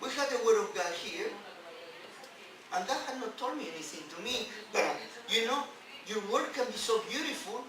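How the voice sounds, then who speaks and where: Rabbi guy preaching w non-native english, highish voice, emphatic, stops n repeats, super echoey room. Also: expressive. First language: not English. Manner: emphatic, halting phrasing with repetition and rhetorical questions. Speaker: rabbi giving a teaching. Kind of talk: sermon on scripture. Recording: distant, very echoey, noisy room recording.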